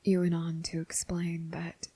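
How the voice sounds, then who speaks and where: Woman playing young male trainee, nervous and gentle, breathy half-whisper, slow hesitant pacing, ASMR roleplay. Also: on the low side, monotone, dry recording. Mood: tender, careful. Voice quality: soft, breathy, slipping into whisper. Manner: halting, hesitant, often pausing mid-sentence. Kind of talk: ASMR roleplay addressed to the listener.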